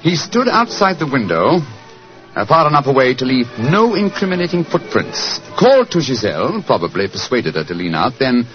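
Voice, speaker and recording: An actor playing a brilliant, self-assured British detective in theatrical, urbane 1940s radio style. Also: male voice, medium pitch, sing-song, normal speed, soft background music, natural voice. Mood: urbane, explaining. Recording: muffled 1940s radio recording.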